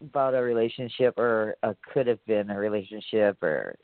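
A man's voice: on the low side, normal speed, no echo. A man from Hawaii, a musician guest in a casual interview, talking in a loose, rambling way with many fillers and curse words. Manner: laid-back, rambling, lots of fillers and swearing. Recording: dry, phone-like audio.

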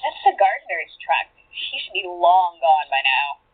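A woman's voice: lowish voice, lively intonation, a little slow, slightly rough. An actress playing a jaded, flirtatious woman thief in an audio drama, with wry, naturalistic delivery. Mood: playful. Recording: muffled narrow-band sound, faint music bed.

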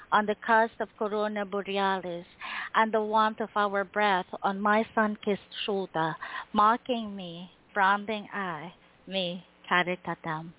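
Woman caller, poetry reading, slow deliberate delivery, tinny phone line. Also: mid-range voice. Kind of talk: spoken word poetry reading.

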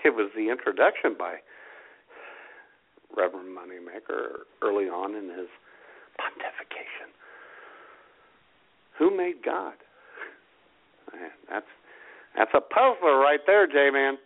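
A man's voice: on the low side, a little slow, long pauses. A man co-hosting a comedy podcast in casual, jokey chat, heard through a tinny, phone-like recording. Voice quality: animated, jokey. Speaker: podcast co-host.

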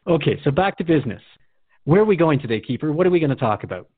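A man's voice: fairly high pitch, big pitch swings, fast, dry recording. A man hosting a radio show in a conversational, earnest and reflective way. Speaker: radio host. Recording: dry, phone-like narrow band.

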